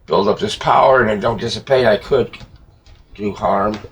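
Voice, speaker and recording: A man's voice: fairly low pitch, normal speed, slightly rough. A man in a hobby workshop talking casually to himself as he works, folksy and given to mild swearing, like a repair hobbyist at the bench. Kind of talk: casual muttered talk while working at the bench. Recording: noisy workshop, clicks and handling sounds.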